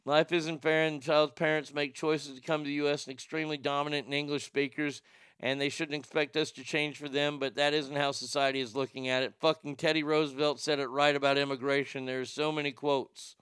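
A middle-aged man with a noticeable stutter talks in a rambling, blunt, often profane way, chatting with his viewers. His voice is bright and crisp, slightly higher than most men's. The sound is close and dry, with no room in it. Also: level tone, fairly quick, dry recording. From Texas. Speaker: livestream show host. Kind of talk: casual chat, reading viewer comments.

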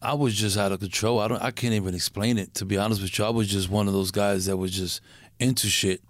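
A middle-aged man from Puerto Rico, a guest in a casual interview, who talks in a halting way, repeating words and using street slang. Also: on the low side, steady tone, dry recording.

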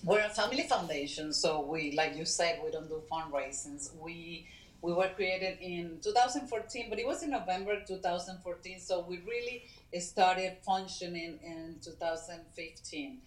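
A middle-aged woman from Venezuela, a guest co-founder of a foundation, speaking natively and talking in an earnest, halting way with many fillers. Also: fairly low pitch, roomy sound, low-quality recording. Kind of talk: casual interview talk.

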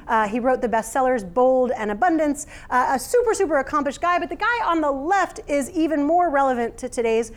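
An American woman in her thirties giving a live talk to an audience, animated and laughing as she makes her points. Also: high-pitched, brisk, hardly any pauses. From United States. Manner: animated, lively, laughs while making points. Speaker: keynote speaker. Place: workspace event room.

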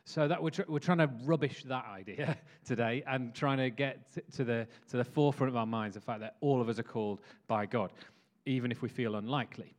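A man hosts a church meeting and talks his way through an interview. His speech is halting, full of fillers and quick laughs, at an average pitch. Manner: hesitant, friendly, lots of fillers and laughs.

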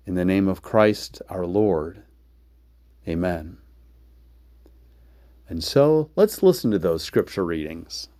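An American man, a preacher, introducing a sermon podcast and praying in measured, deliberate phrases. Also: low-pitched, lively intonation, mellow, no room sound.

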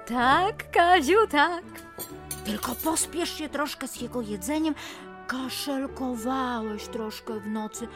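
An actress playing a fussy, bossy older aunt who nags theatrically in a radio play. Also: female voice, high voice, slow, long pauses, over music. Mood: coaxing, fussy. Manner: nagging, theatrical, fussing. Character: fussy, bossy, overbearing older aunt nagging her nephew.

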